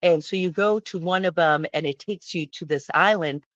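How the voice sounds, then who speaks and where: A woman's voice: fairly low pitch, normal speed, dry recording, highs cut off. A woman hosting a travel vlog, talking casually and emphatically, often throwing out questions as she explains. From born in Hungary. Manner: chatty, emphatic, asks questions.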